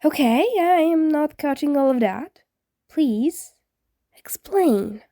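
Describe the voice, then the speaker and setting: Woman playing teenage ghost boy, friendly, emphatic, eager, high and raised, storybook reading. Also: animated, slow, dark tone, no echo, clean studio sound, full character voice. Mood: pleading. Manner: emphatic, eager, casual teen speech.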